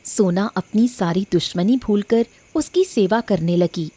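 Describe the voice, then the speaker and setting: A woman's voice: medium pitch, measured pace, warm tone, breathy edge, no echo. A woman narrating a scripted story as its narrator.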